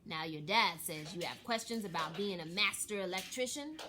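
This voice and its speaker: A woman voicing a friendly, brisk, encouraging male electrician in a children's storybook. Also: on the low side, lively intonation, background noise, close to an everyday voice.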